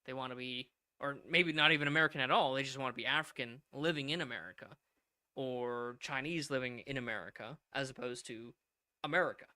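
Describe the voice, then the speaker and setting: A man with a bright voice hosts a casual discussion. The sound is dry and close, and he builds long, rambling questions by stacking up example after example. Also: mid-range voice, brisk, clean tone, dry recording.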